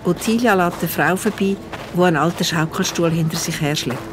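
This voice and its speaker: A woman's voice: fairly low pitch, fairly quick, heavy background noise. A woman with a Swiss accent narrating a children's audio drama from a script. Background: music.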